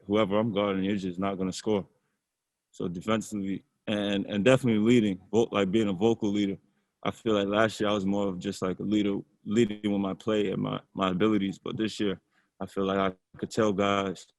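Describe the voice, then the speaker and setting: A young man, a college basketball player, answering interview questions in a relaxed way and often repeating words as he thinks. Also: on the low side, no room sound. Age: young adult.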